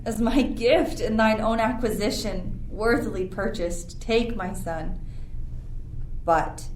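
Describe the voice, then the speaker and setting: A woman's voice: fairly low pitch, a little mellow, lightly acted. A woman playing a commanding sorceress and stern mother in formal, declamatory verse for an amateur Shakespeare radio play. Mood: stern warning. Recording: roomy, noisy with ambient sound effects.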